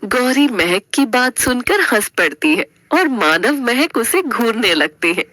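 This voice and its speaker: A woman's voice: a little high, sing-song, unhurried, bright, forceful tone, dry recording. A woman storyteller giving a dramatic scripted narration of a family story.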